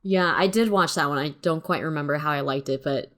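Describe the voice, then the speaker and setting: A woman with a somewhat low-pitched voice shares opinions as a co-host. Her style is casual and conversational, with loose, informal phrasing. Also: fairly quick. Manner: informal, peppered with "like". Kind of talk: casual chat.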